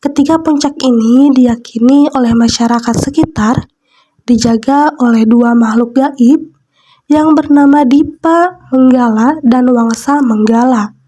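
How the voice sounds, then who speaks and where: A woman with a stuffy nose from a cold, chatting casually while eating on camera and reading a spooky story aloud, often saying "nah" between thoughts. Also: high voice. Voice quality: nasal. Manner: slow, soft, frequent "nah" fillers while eating. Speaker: mukbang vlogger. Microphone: close mic for eating sounds.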